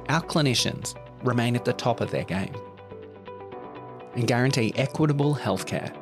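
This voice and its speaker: A man's voice: medium pitch, gravelly. A man reading a scripted promotional narration as a voice-over narrator. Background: guitar music.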